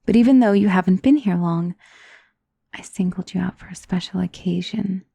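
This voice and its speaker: Breathy, seductive vampire noblewoman acted by a woman. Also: lowish voice, normal speed, dry recording. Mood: reassuring, coaxing. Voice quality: breathy, soft. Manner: intimate, coaxing, often dropping to a whisper. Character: elegant seductive female vampire noble, doting and possessive. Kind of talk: ASMR roleplay. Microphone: close studio mic.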